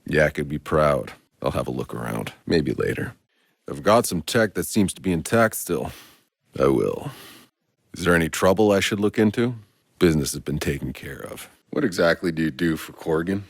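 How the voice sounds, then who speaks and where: An actor playing a world-weary, sardonic soldier in a video game, gravelly, dry and blunt. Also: male voice, rumbling low, animated, normal speed, dry recording, exaggerated voice. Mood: dry, guarded. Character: gruff, world-weary male Outrider soldier, sardonic and foul-mouthed.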